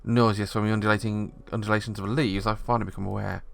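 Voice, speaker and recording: Low-voiced man reading game narration. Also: very fast, vocal fry, no echo. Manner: steady reading with small slips. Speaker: narrator reading a visual novel.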